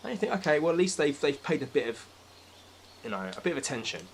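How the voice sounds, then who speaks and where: A man's voice: on the high side, big pitch swings, fast, gravelly, slight background noise. A British man in a casual, opinionated film discussion, talking emphatically with exasperated, sweary rants. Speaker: podcast speaker.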